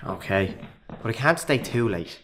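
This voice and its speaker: A man's voice: lowish voice, animated, moderate pace, fairly bright tone, a little hiss or hum. An actor playing a reluctant, worried Irish workman and hesitant accomplice in a radio play. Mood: uneasy, reluctant. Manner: doubtful, worried.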